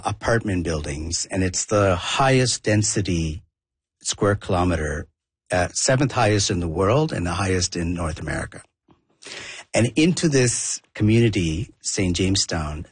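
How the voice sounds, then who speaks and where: A man's voice: deep voice, slightly rough, no room sound. A man from southern India whose first language is Tamil, a documentary filmmaker talking in an interview with a reflective, rambling manner and many restarts. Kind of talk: conversational interview.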